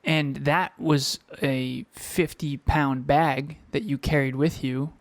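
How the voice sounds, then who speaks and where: A man co-hosting a podcast in casual chat, talking reflectively and filling pauses with um, recorded at home with a fan running. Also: medium pitch, steady tone, dry recording. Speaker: podcast co-host.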